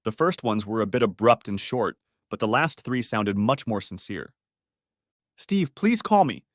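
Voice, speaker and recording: A man's voice: fairly low pitch, moderate pace, fairly bright tone, dry recording, clean studio sound. A man narrating a first-person story from a script.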